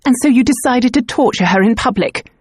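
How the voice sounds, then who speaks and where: Casting: hot-tempered young lady, a woman reading, high, sharp, indignant. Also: lively intonation, brisk, slightly rough, no echo, clean studio sound.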